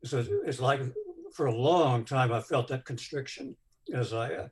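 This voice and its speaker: A man with a bass voice sharing personal news in a group, hesitant with many pauses and fillers. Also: slight background noise.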